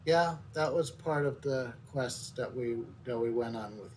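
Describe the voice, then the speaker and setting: Halting male game streamer, thinking aloud. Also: mid-range voice, a little mellow, slight background noise. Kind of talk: casual game commentary.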